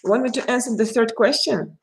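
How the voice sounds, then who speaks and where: A woman's voice: fairly high pitch, fairly quick, creaky. Russian-accented woman reading tarot live. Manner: chatty, laughing often, addressing viewers by name. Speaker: tarot reader. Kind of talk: casual live stream chat.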